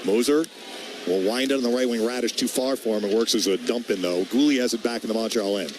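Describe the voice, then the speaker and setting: A man's voice: medium pitch, fast, background noise. A man doing live radio hockey play-by-play in clipped phrases that follow the action.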